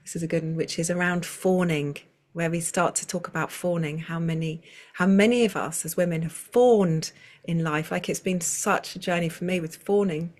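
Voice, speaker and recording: A woman's voice: on the low side, fairly even intonation, normal speed, darkish tone. A woman, a circle facilitator, speaking as a guest over a video call in a flowing, rambling way with many restarts. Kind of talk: conversational interview.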